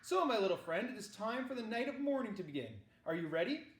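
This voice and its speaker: A man's voice: high voice, big pitch swings, fast talker, pitched above the performer's own voice. A man reading a children's novel aloud, voicing an earnest, protective uncle with an emphatic, tender and wryly grave delivery. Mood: wry, solemn. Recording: roomy echo.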